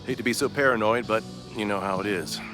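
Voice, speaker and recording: A man's voice: fairly low pitch, fast, natural voice. A man playing a wary, paranoid bartender and protest organizer in a video game, terse and probing in delivery. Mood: conspiratorial. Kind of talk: naturalistic video game acting. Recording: guitar music under the voice.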